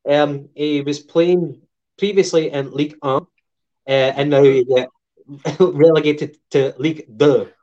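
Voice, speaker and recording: Higher-voiced man on a dull mic. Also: steady tone, dark tone. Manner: measured, with many filler ums and uhs. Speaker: podcast co-host. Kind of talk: casual football chat.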